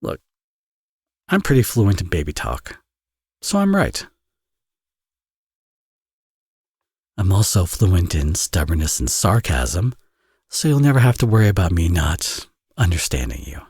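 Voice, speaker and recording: A man's voice: deep voice, wildly swooping pitch, moderate pace, a little mellow, slightly rough, no echo, clean studio sound, exaggerated voice. A man playing a teasing, flirtatious villain and doting father in an intimate romance audio roleplay. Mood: smug teasing. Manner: playful, smug, sarcastic teasing.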